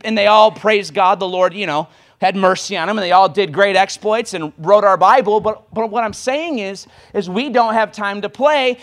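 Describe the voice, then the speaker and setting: High-voiced young preacher. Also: male voice, fairly bright tone. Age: 20s. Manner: impassioned, rapid exhortation with sudden bursts of volume. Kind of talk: sermon.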